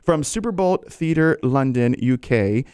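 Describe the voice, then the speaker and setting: A man's voice: mid-range voice, lively intonation, slow pace, darkish tone, clean tone, no echo. A man hosting a talk show, eager and chatty, with a mid-range voice. He laughs easily between questions. The sound is dry and close. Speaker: radio show host. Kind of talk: upbeat interview chat.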